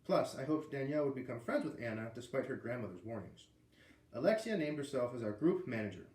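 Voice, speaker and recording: A man's voice: mid-range voice, sing-song, a little fast, darkish tone, echoey room, rough recording. A man with an American accent reading a children's book aloud, with casual asides. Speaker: read-aloud reader.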